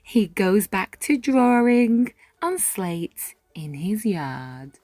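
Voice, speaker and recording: A woman reading a rhyming children's picture book aloud, with measured, dramatic phrasing and deliberate pauses. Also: medium pitch, sing-song, a little mellow, dry recording. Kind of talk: expressive children's read-aloud narration in rhyme. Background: music.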